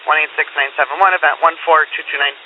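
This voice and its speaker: A man's voice: on the high side, steady tone, fast. A man working as a police dispatcher, relaying terse codes and repeated details in a clipped, procedural way over a scanner radio. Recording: hissy phone-like police scanner radio, narrow band.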